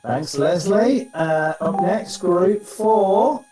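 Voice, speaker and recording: A man hosting an online event, introducing each coach in turn with brisk, upbeat remarks. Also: high voice, expressive, reverberant, a crowd in the background, poor audio.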